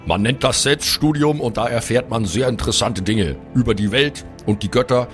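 A man's voice: medium pitch, normal speed, faint music behind, lightly acted. A man playing a bookish, know-it-all dwarf in an improvised fantasy audio drama, wordy and lecturing. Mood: smug.

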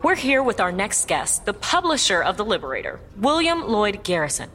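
Animated news interviewer, reverberant. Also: female voice, mid-range voice, normal speed. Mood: earnest, inquiring. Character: earnest female news interviewer in historical dramatization. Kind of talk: dramatized broadcast news interview. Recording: long hall-like reverb.

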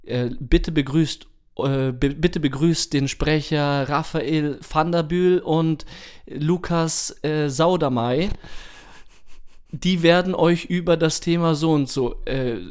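Higher-voiced man, casual podcast co-host. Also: fairly even intonation, takes it slowly, a little mellow, clean tone. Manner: colloquial, slangy German. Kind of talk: casual chat.

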